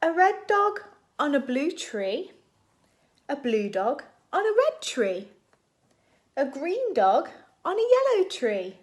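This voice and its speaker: High, animated woman reading to children. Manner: expressive, animated, deliberate phrasing for small children. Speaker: children's story reader. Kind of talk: read-aloud storytime for young children.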